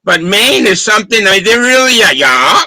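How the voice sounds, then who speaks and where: A man with a thick Boston accent talks through a beer's flavor in careful, descriptive detail. His voice sits high and very bright, with a sharp edge. The sound is dry and close over a video call. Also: very animated, moderate pace, no room sound. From Boston, Massachusetts. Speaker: beer reviewer, co-host. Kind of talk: casual chat, beer tasting review.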